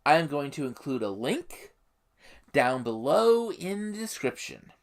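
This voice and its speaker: A YouTube host giving explanatory commentary on a game setting, speaking emphatically and haltingly, with pauses. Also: mid-range voice, big pitch swings.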